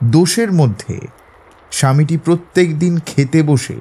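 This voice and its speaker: Mellow, higher-voiced gentleman detective played by a man. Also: close to an everyday voice. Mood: amused, triumphant. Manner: measured, eloquent, lecturing. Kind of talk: Bengali audio drama acting.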